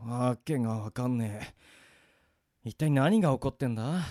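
An actor playing a flustered young office worker in a radio drama, blunt and casual, muttering and exclaiming. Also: male voice, mid-range voice, animated, moderate pace, heavy background noise, natural voice. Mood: bewildered. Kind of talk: Japanese radio drama acting.

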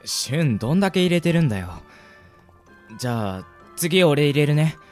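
A man's voice: a little high, big pitch swings, normal speed, darkish tone, soft background music. A man playing a mild, hesitant and wary young man in an amateur radio drama.

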